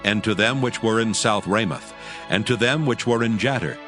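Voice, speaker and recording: A man narrating scripture as a scripted audio drama, with solemn, measured storytelling. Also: lowish voice, fairly bright tone. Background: music bed.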